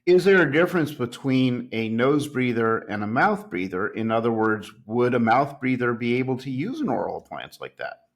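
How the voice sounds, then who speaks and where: Male interview host with a measured delivery. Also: medium pitch, sing-song. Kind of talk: conversational interviewing.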